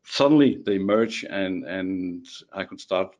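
A man with a Danish accent speaks in a slightly low voice as a guest in a relaxed podcast conversation. He talks haltingly, repeating words and restarting sentences as he goes. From Denmark. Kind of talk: casual podcast chat.

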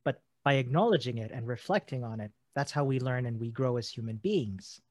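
A man's voice: medium pitch, dry recording. A man co-hosting a podcast, talking earnestly and restarting his phrases often as he thinks aloud. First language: not German. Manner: earnest, halting with many restarts. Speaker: podcast co-host. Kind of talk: conversational podcast discussion.

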